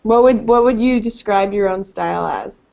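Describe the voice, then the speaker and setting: A woman's voice: mid-range voice, normal speed, vocal fry, darkish tone. A woman hosting a casual interview, asking friendly questions with many fillers. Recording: dull lo-fi audio.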